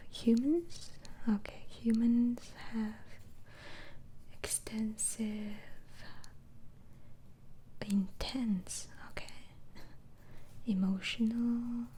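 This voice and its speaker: A woman plays a gentle female doctor interviewing a patient in a whispered ASMR roleplay. The whisper is soft, mellow and unhurried, with hesitant, murmured follow-ups. A faint noise sits behind the voice. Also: medium pitch, poor audio. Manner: halting, hesitant, murmuring acknowledgements.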